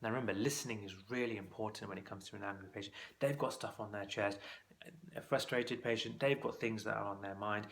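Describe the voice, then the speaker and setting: Low gravelly guy, exam tutor talking to camera, chatty coaching tips. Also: steady tone, fast talker, fairly bright tone, a little hiss or hum. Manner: conversational, practical, coaching tone. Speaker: clinical exam course tutor. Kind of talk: instructional talk to camera.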